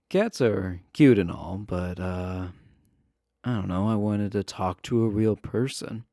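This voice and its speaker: Low, slow, shyly stammering villain played by a man. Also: a little mellow, no echo, clean studio sound. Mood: shyly amazed. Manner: hesitant, stammering, awkwardly earnest. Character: shy, adorkable imprisoned villain, friendly and earnest. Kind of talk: romantic roleplay audio, spoken to the listener.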